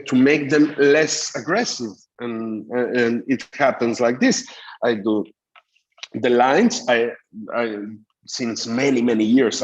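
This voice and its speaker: Halting middle-aged man teaching a class. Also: medium pitch, low-quality recording. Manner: explanatory, halting with frequent fillers. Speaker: dental lecturer. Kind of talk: recorded master class lecture.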